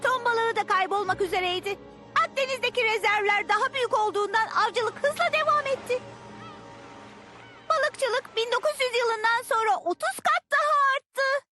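A woman's voice: very high voice, moderate pace. A woman voicing an earnest little child who explains grave facts in a dubbed educational cartoon. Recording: loud music behind the voice.

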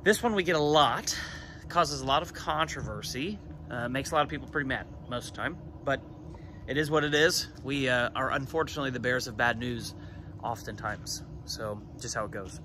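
A man's voice: medium pitch, a little fast. A man in his thirties, a renovation loan consultant, explaining a question casually and matter-of-factly to a phone camera held at arm's length outside a brick building. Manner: plain-spoken, matter-of-fact. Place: outdoors by a brick building on a street. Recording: outdoor street noise behind.

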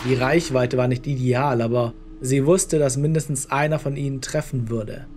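Ein Mann liest als Erzähler eine Geschichte vom Skript. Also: male voice, mid-range voice, fairly even intonation, moderate pace, very noisy. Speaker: narrator. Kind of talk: scripted story narration.

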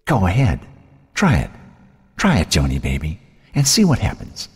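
Man voicing a cruel, taunting ghostly sister, pitched up. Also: expressive, fast talker, a little mellow, big-room sound, natural voice. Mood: taunting, menacing. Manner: mocking, scornful, belittling. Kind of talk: audiobook character voice.

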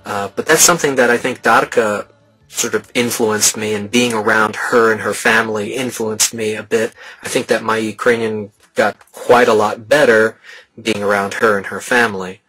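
Bright-toned man, thoughtful and hedging. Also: fairly low pitch, steady tone, normal speed, husky, soft background music, low-quality recording. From United States. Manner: thoughtful, hedging, hand on chin. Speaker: interviewee. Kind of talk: documentary interview. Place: indoor studio, red backdrop.

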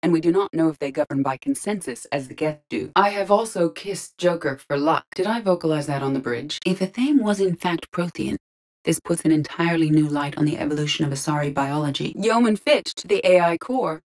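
A woman voicing a calm, curious, literal-minded female ship artificial intelligence in a video game, speaking formally and precisely. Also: deep voice. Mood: calm, analytical. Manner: measured, formal, precise diction. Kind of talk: scripted video game character dialogue.

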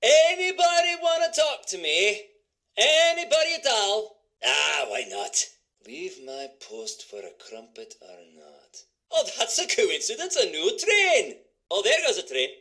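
A restless, secretive informant in a video game. His voice is high and sharp, with animated swings of pitch. He speaks tersely, as a man on edge. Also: normal speed, heavily acted. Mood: bored, restless. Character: edgy, secretive man working as reluctant informant.

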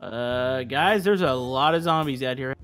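A man's voice: mid-range voice, a little slow, fairly bright tone. A young man in casual gameplay banter, playfully teasing the people he plays with. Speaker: gaming video player. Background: background music.

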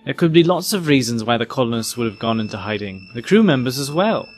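A man's voice: mid-range voice, big pitch swings, fast talker, faint music behind, lightly acted. A man playing a sceptical, reasoning spaceship crewman in an audio drama, questioning and matter-of-fact.

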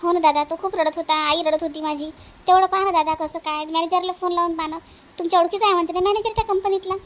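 A woman's voice: very high-pitched, fairly even intonation, fast. A woman voicing an anxious, pleading young sister-in-law in a cartoon family drama, with a Vidarbha accent. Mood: worried, pleading. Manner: pleading, insistent.

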